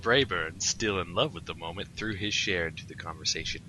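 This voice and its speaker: A man co-hosts and reads fan fiction aloud. His voice is quite deep yet bright. Soft music runs behind him. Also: a little fast, poor audio. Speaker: co-host and story reader. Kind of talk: comic fan fiction narration.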